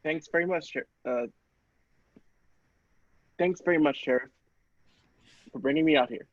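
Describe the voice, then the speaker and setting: A man playing a polite, earnest young lab assistant in a radio play, speaking a little haltingly. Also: on the high side, no echo, muffled recording, close to an everyday voice.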